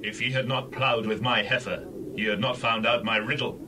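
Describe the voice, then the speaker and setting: A man playing a proud, vengeful biblical strongman in a dramatized scripture reading, speaking in a deliberate, declamatory way. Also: mid-range voice, steady tone, pushed and bright, slight background noise, close to an everyday voice. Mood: stern.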